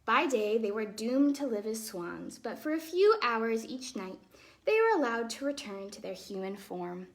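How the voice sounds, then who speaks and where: A woman hosting storytime, reading a fairytale aloud to children expressively, with casual explanatory asides in a softer, chatty manner. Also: a little high, very animated, unhurried. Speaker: storytime host and ballet dancer.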